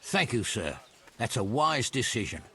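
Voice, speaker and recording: An actor playing an earnest, worried medieval garrison soldier who speaks urgently and deferentially in a video game. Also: male voice, mid-range voice, very animated, unhurried. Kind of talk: video game cutscene acting.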